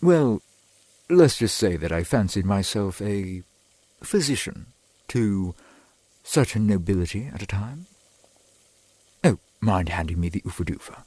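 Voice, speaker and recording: A man plays an ancient vampire boyfriend in an intimate ASMR roleplay. His low, husky male voice swings expressively through genteel, archaic phrasing, full of proud adoration. The sound is close and dry. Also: expressive, a little slow, pauses often, a little mellow, dry recording, low-quality recording. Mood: wry, reminiscing. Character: courtly centuries-old vampire boyfriend, adoring and proud.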